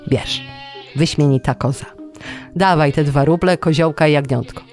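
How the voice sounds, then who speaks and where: A man's voice: on the high side, moderate pace, soft background music, natural voice. A storyteller as a grudging, greedy rich herdsman. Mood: brisk, demanding. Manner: grudging, blunt. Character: greedy, foolish rich herd owner.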